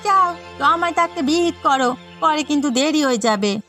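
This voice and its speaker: A meddling village gossip played by a woman in a cartoon drama, pitched high and needling. She insinuates and stirs up trouble over faint music. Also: lively intonation, moderate pace, pushed and bright. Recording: music behind the voice.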